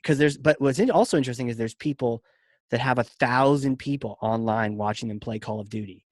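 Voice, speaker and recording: A man's voice: mid-range voice, fairly quick, no echo, clean studio sound. A man hosting a podcast, talking loosely and playfully and rambling with lots of "like". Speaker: podcast host. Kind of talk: casual interview chat.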